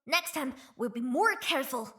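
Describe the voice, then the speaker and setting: A brash young gang leader. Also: female voice, sits high, lively intonation, moderate pace. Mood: cocky. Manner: cocky and boastful, turning hushed and wistful in memories. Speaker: voice actor. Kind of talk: video game character voice lines.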